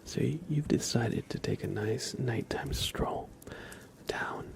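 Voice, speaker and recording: Slow whispering ASMR man, slightly gravelly. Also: mid-range voice. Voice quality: breathy whisper with soft-spoken stretches. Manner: slow, hushed, gentle. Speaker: ASMR live streamer. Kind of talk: ASMR, whispered relaxation and guided imagery.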